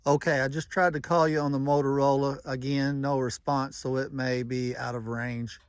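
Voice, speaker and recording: A man's voice: medium pitch, level tone, moderate pace, smooth, dry recording. A man giving casual, plain-spoken running commentary and making radio check calls in a folksy way. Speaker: vlogger testing walkie-talkies.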